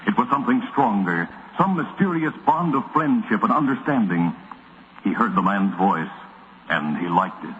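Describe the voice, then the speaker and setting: A man's voice: on the low side, expressive, normal speed, slight background noise. An American man narrating an old radio western in a dramatic, solemn 1940s storytelling style. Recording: muffled vintage radio recording.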